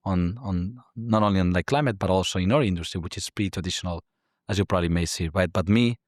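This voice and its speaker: A man's voice: low-pitched, fast, no echo, studio quality. A man from Spain with a Spanish accent, in his thirties, explaining his career and industry as a podcast guest, peppering his talk with "like" and "right?". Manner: constant filler "like" and tag "right?". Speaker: guest, climate tech investor. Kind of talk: casual interview talk.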